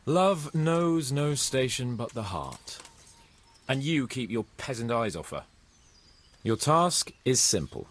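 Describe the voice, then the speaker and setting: A man's voice: on the low side, slightly rough, full character voice. A young man playing a pompous, romantic young nobleman in a video game cutscene, speaking in a lordly, theatrical way. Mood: haughty. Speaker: game character.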